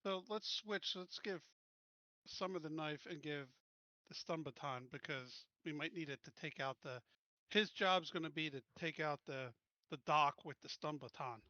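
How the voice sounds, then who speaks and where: A man with a bright, fairly high voice talks casually as a tabletop game player, practical and directive. The sound is dry and slightly dull. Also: normal speed, no room sound.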